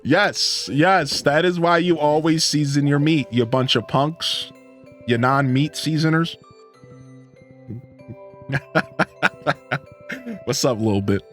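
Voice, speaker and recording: A man's voice: on the high side, takes it slowly, pauses often, fairly bright tone, soft background music. A man from Ohio with a Midwestern twang, chatting casually with live-stream viewers in a rambling, laid-back way. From Northeast Ohio, USA.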